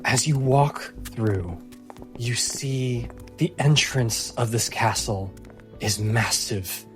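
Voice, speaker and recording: A man narrating a fantasy tabletop game as game master, in measured phrases with dramatic pauses. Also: mid-range voice, darkish tone.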